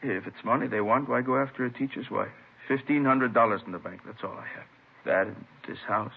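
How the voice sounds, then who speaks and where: A man acting a polite, bewildered professor and worried husband, speaking haltingly and earnestly in old radio drama style. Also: mid-range voice, creaky, husky. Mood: bewildered, anxious. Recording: tinny, noisy vintage radio recording.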